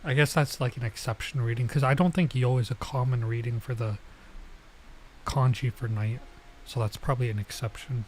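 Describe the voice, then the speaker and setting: Young male streamer, relaxed and talkative, medium pitch; commentary over a visual novel. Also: moderate pace, slight background noise. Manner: chatty, laid-back, lots of "like" and "bro". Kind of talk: casual let's-play commentary.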